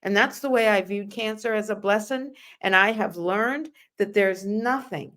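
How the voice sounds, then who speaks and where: A woman's voice: mid-range voice, moderate pace, a bit bright. Middle-aged female host, earnest and emphatic, telling personal anecdotes in a conversational interview. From Russia. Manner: earnest, emphatic, addresses listeners directly. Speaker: host of a nursing and wellness talk show.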